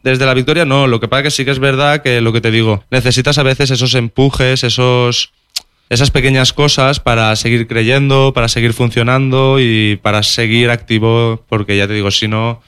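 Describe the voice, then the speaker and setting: A young man with a Valencian accent, Valencian as his first language, answers interview questions about his music. He speaks thoughtfully, often restarting and repeating phrases. His voice is smooth and clear, recorded close and dry. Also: medium pitch, little pitch movement, normal speed, fairly bright tone, no echo.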